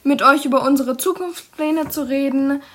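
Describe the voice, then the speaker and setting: Eine junge Frau, Freiwillige, die mit Füllwörtern zögernd in einem Radiobeitrag spricht. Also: female voice, sits high, moderate pace, a bit bright. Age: young adult. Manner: hesitant, with fillers. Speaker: young volunteer. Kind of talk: read-out radio segment.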